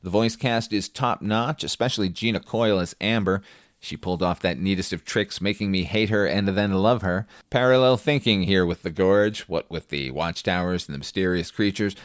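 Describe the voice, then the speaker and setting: Guy podcaster reviewing movies, kinda low voice, super dry close sound, sardonic and sweary. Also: dry recording. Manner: wry, profane, opinionated. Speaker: podcast host and film reviewer. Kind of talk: conversational film review with sardonic asides.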